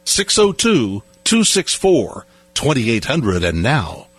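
A man reading a radio station promo in a slow, drawn-out, showy announcer style. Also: medium pitch, wildly swooping pitch, very noisy.

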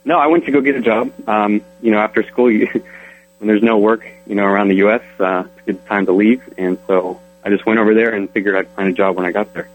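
A young man from Colorado answering interview questions in an easygoing, relaxed way. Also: lowish voice, quick pace, rough recording. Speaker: interview guest.

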